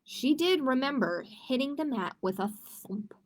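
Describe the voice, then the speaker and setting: A woman's voice: sits high, expressive, measured pace. A woman hosting a library storytime, reading picture books aloud to children and explaining simply and encouragingly.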